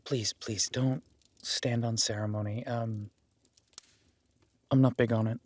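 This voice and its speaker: A man's voice: medium pitch, steady tone, slow, darkish tone, no echo. A man playing an awkward, self-deprecating young werewolf duke in a roleplay audio drama, stammering and apologetic, speaking informally to the listener. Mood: bashful, self-deprecating.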